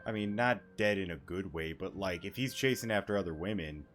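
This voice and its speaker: A man's voice: low voice, a little fast, a bit bright. A young man giving casual, rambling, joking reaction commentary as he plays a game. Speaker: gaming video host. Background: game music.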